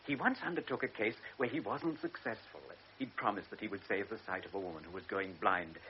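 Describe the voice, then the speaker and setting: An actor playing a frail, anxious elderly baron who is a devoted father. He speaks earnestly, stammers and drops into hushed tones. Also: on the low side, a little fast, lightly acted. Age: older. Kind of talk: classic radio drama acting. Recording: lo-fi radio recording with faint hiss.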